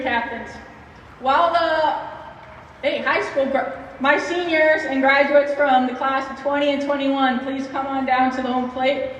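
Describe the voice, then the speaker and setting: A woman's voice: sits high, lo-fi sound. A woman hosting a ceremony as the organization's leader, speaking informally and off the cuff. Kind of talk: informal unscripted ceremony address. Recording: echoey distant PA with faint noise.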